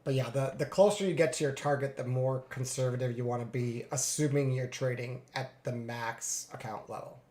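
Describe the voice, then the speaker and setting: A man getting over a cold, a little congested, narrating his live trades in a relaxed way with many fillers. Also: mid-range voice, normal speed, hardly any pauses, roomy sound. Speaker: futures day trader streaming live. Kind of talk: live trading commentary.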